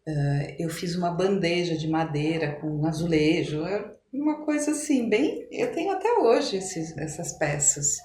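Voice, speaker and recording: A woman's voice: fairly low pitch, animated, unhurried, few pauses, warm tone. Echoey recording of a Brazilian woman in her sixties. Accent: Brazilian Portuguese. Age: 60s. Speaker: podcast guest. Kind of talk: reminiscing in a conversational interview. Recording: echoey room with clear background noise.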